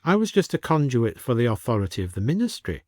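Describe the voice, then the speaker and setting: A man voicing a wistful, genteel gentleman from a bygone age, in a story reading. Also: medium pitch, sing-song, fast talker, dry recording, studio quality, natural voice. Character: wistful, genteel old-fashioned gentleman from centuries ago. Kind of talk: audiobook character voice.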